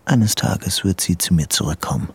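A man's voice: deep voice, normal speed, gravelly, breathy edge, full character voice. An actor playing an obsessive, deluded young man in love in a radio play, intimate and brooding, often murmuring to himself. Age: young adult. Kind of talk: radio play acting, interior monologue. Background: music.

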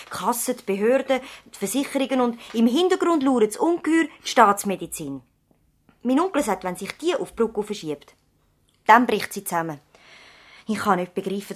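A woman playing an idealistic young doctor's daughter in a 1970s radio drama, with a thoughtful, measured, earnest delivery. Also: on the high side. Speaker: actor in a radio play.

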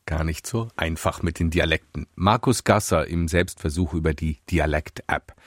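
Deep-voiced radio news presenter. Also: male voice, steady tone, moderate pace, dry recording, clean studio sound. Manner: crisp, announcing delivery.

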